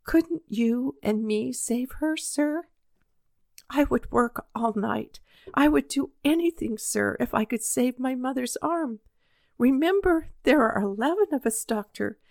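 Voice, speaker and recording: A woman's voice: sits high, animated, measured pace, gravelly, darkish tone, no room sound, clean studio sound, voice pushed up, heavily acted. A woman voicing a poor twelve-year-old boy who earnestly pleads for help. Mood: pleading.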